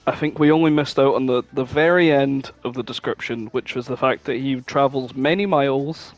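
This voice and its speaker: A man's voice: medium pitch, steady tone, brisk, soft background music. A man co-hosting a podcast in casual chat, exclaiming and swearing freely. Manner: foul-mouthed, exclamatory. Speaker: podcast co-host.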